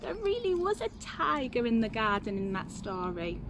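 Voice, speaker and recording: A woman's voice: on the high side, sing-song, normal speed, noisy background. A young woman narrating a children's storybook expressively, recorded outdoors. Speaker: storybook reader.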